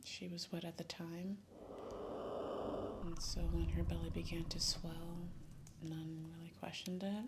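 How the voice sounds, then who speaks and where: A woman playing a gentle, reserved young dragon rider in a whispered ASMR fantasy roleplay, breathy and hesitant, with formal old-fashioned phrasing. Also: fairly low pitch, flat delivery, measured pace. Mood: confiding, hesitant. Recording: loud ambient noise over whispering. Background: campfire and night nature ambience.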